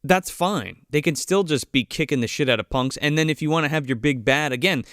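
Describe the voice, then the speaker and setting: A man's voice: fairly high pitch, brisk, fairly bright tone, clean tone, no room sound, clean studio sound. A man co-hosting a podcast, chatting emphatically about a movie and swearing. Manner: emphatic, profane, laughs often. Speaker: podcast co-host. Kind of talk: casual movie review chat.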